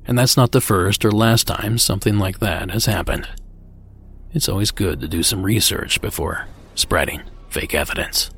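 A man hosting a podcast, reading his script in a low-key, measured way. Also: a bit bright, breathy edge, soft background music. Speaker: podcast host.

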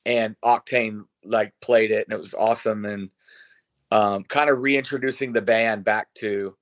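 A man's voice: fairly low pitch, fairly even intonation, a little slow, a bit bright. A man around forty who sings in a rock band, chatting casually and informally as an interview guest. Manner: informal, swearing. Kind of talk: casual chat.

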